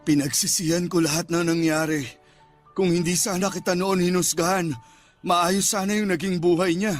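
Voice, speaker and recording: Radio drama role: male actor as protective, remorseful Filipino father, older, high-pitched, earnest, music underneath. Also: steady tone, normal speed, lightly acted. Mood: remorseful, grateful. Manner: pleading, earnest.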